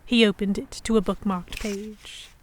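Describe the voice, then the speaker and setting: A woman playing a prim, anxious yet defiant young Victorian noblewoman, narrating a historical romance audio drama in a formal, expressive way. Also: medium pitch, a little slow, a little hiss or hum, natural voice. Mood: tense narration.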